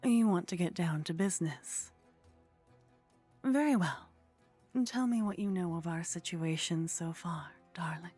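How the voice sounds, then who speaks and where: A woman playing a haughty, flirtatious vampire in an intimate ASMR roleplay, speaking softly and close to the microphone with a teasing, condescending manner that often drops toward a whisper. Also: fairly low pitch, measured pace, pauses often, soft background music. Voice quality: soft, breathy.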